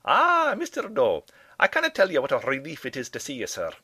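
A man's voice: medium pitch, wildly swooping pitch, fairly quick, pushed and bright, breathy edge, no echo, voice pushed up, full character voice. A man voicing a stout, effusive, anxious science professor in a comic spy story. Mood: relieved, effusive.

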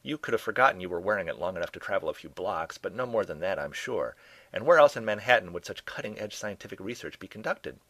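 An elderly gentleman detective, acted by a man reading a story, pompous and quick-witted. He declaims theatrically, with very lively swings of pitch in a dry, close sound. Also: no room sound, heavily acted. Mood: smug, reasoning.

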